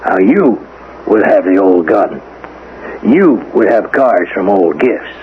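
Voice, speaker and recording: Suspicious police lieutenant, deep-set pitch, sly drawling pauses, old radio drama, thin band with faint hiss. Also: male voice, expressive. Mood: sly, insinuating. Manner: drawling pauses, insinuating, folksy rhetorical questions. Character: shrewd male police lieutenant, needling and suspicious. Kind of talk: 1970s radio drama acting. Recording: tinny vintage radio broadcast, faint noise.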